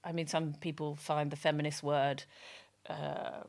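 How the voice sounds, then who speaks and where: Low-voiced, loud, emphatic woman. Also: level tone, a little slow, creaky. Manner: emphatic and frank, with many restarts. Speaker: guest brand strategist. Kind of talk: candid podcast interview talk.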